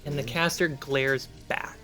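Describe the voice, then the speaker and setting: A man's voice: mid-range voice, steady tone, measured pace, sharp tone, soft background music. A man narrating a tabletop fantasy game as game master, setting scenes and prompting players.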